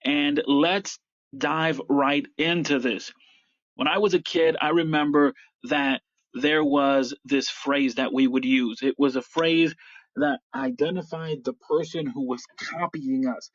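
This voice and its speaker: A middle-aged American man hosting a motivational podcast, speaking in deliberate, emphatic phrases with repetition. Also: on the high side, fairly even intonation, no room sound. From upstate New York, United States. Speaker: podcast host. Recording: slightly dull, dry lo-fi audio.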